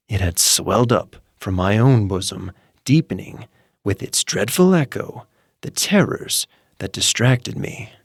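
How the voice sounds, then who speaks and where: A male narrator reads a grim tale at an unhurried, deliberate pace, his fairly low voice sweeping widely in pitch. He swings from hushed murmurs to sharp, intense peaks. The sound is close and dry, with no room around it. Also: no echo. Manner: slow, theatrical, swings from hushed murmurs to sudden intense outbursts. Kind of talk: dramatic audiobook reading for sleep.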